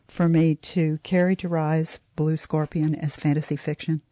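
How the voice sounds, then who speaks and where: A woman's voice: low-pitched, flat delivery, moderate pace, dark tone, dry recording. A woman hosting an interview, talking in an engaged, affirming way as she guides her guest. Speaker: podcast interviewer host. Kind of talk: interview chat.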